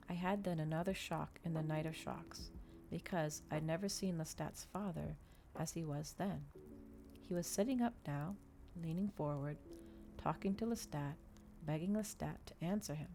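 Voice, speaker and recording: A woman reads fiction aloud in an ASMR style. Her voice is notably low and mellow, and she goes softly and without hurry, catching herself on small slips. Faint music plays underneath. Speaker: streamer reading a novel aloud. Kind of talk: ASMR audiobook-style reading.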